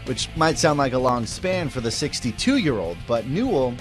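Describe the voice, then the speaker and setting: A man in his thirties narrating a local TV news feature in a bright, lively reporter delivery. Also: medium pitch, normal speed, faint music behind.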